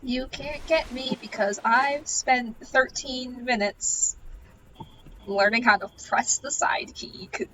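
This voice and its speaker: A woman with a fairly high voice talks casually over gameplay at an unhurried pace. She is playful and silly, sometimes chanting phrases. The recording is noisy. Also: far from the mic. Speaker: video game streamer.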